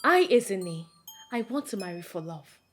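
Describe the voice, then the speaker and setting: A woman's voice: medium pitch, very animated, moderate pace, fairly bright tone, faint music behind. A woman playing a defiant, plain-spoken young village woman with a Nigerian accent in a film drama, speaking emphatically and indignantly. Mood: indignant, firm. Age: young adult. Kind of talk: naturalistic film drama dialogue.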